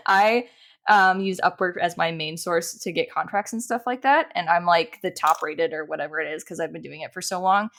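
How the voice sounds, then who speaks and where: A woman with a slightly low speaking voice talks as a podcast guest. She is chatty and informal, with a self-deprecating, easygoing conversational way of explaining things. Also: normal speed, a bit bright. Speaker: podcast guest, animator and dungeon master. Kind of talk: casual interview chat.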